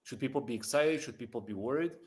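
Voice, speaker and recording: A man's voice: mid-range voice, fast talker, husky. A male host asking questions in a hesitant way, searching for his words.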